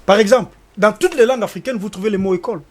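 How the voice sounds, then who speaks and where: High-voiced hesitant Congolese panelist man. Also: very fast. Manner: hesitant, repeats words, animated. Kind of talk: televised debate.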